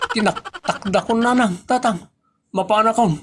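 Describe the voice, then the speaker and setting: A man's voice: sits high, moderate pace, lightly acted. A man playing a painfully bashful, whining grown child in a comedy audio drama. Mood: embarrassed. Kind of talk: Ilocano comedy radio-style drama acting. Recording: noticeable background noise.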